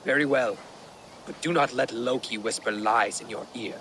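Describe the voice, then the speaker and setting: An actor playing a troubled, earnest Viking jarl in a video game, speaking gravely. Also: male voice, medium pitch, normal speed, background noise, close to an everyday voice. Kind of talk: video game dramatic acting.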